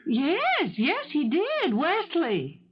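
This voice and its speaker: Prim, lively housekeeper, dull recording. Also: female voice, very high-pitched, very slow, a crowd in the background, heavily acted. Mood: puzzled, soothing. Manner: formal, proper, polite servant's diction. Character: prim, brisk, take-charge female housekeeper. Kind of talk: old-time radio mystery drama acting. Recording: dull 1970s radio broadcast recording.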